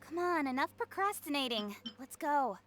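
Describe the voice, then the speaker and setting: A woman's voice: high voice, expressive, moderate pace. An actress voicing a spirited young female adventurer in a video game. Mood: eager. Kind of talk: video game character voice lines. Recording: game audio with music behind.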